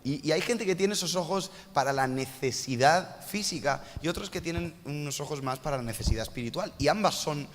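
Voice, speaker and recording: A man's voice: a little high, lively intonation, brisk, hardly any pauses, a little hiss or hum. A man hosting a casual interview on a church stage, chatty and joking, poking fun at himself. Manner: jokey, chatty, self-mocking asides. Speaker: church host interviewing a missionary. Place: large church hall. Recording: long church hall reverb.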